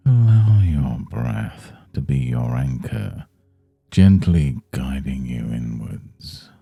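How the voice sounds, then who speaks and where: Very deep, gravelly, dark-voiced man guiding meditation. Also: lively intonation, no room sound, soft background music. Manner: soothing, measured, hushed instruction. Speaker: meditation guide. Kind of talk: guided Yoga Nidra meditation.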